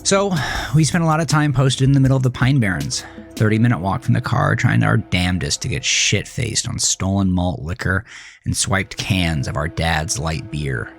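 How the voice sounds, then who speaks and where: An actor playing a jaded man who looks back on his angry teenage years in a brooding, confessional horror monologue with halting pauses. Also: low voice, animated, gravelly. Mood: wistful, bitter. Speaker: narrator of a horror audio drama. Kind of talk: first-person scripted horror narration, dramatic monologue. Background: music.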